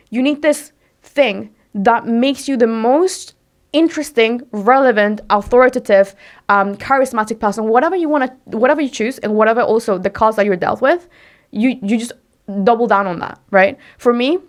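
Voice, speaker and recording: A woman's voice: a little high, normal speed. Direct, emphatic young Mexican woman. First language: Spanish. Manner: direct, emphatic, rhetorical questions. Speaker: podcast guest. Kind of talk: casual interview talk.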